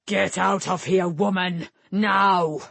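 Woman voicing a gruff, husky old warrior king. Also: fairly low pitch, measured pace, dry recording. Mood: furious, commanding. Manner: rustic dialect words, blustering, commanding. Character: massive grey-haired warrior king, gruff, domineering, hot-tempered father.

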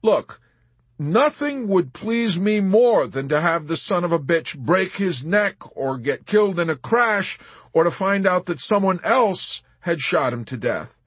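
A man's voice: high voice, very animated, a little slow, slightly rough, no room sound, voice pushed up, heavily acted. A man voicing a male airman in an audiobook, objecting with reluctance and exasperation. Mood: reluctant, exasperated.

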